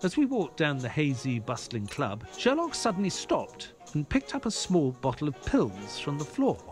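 Man playing gentleman sidekick, audio drama narrator, lightly raised pitch, lively intonation, music underneath. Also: normal speed. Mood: measured, storytelling.

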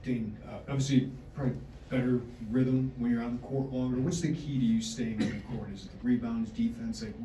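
A male reporter with a mid-pitched voice asks questions in press conference style. He is some way from the mic in an echoey room, with faint noise. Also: steady tone, a little fast, mellow, distant mic.

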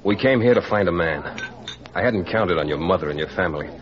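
An urgent young detective in a 1940s radio thriller. He speaks low, in short insistent sentences. The vintage recording is muffled. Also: animated, a little fast. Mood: urgent, pleading.

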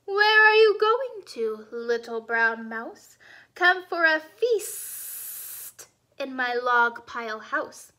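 A woman voicing a sly but cowardly male storybook snake with drawn-out, coaxing delivery. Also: high voice, animated, bright, forceful tone, heavily acted.

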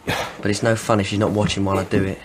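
An actor playing a taunting, cruel armed criminal in a radio crime drama, speaking slangy English. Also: male voice, on the low side, little pitch movement, fast talker, noisy background, natural voice. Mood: mocking.

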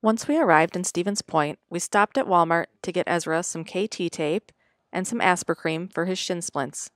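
A woman's voice: lowish voice, moderate pace, dry recording. Woman narrating a family vlog. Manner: even, matter-of-fact storytelling. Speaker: vlogger narrating her weekend. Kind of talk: scripted voice-over narration.

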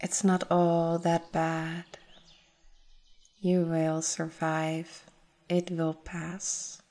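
A woman guides a meditation in a low, soft-toned voice. Her delivery is very slow and even, with little rise or fall. She sounds soothing and gentle, leaving space after each phrase. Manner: soothing, gentle, unhurried with long pauses. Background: forest nature sounds with birds.

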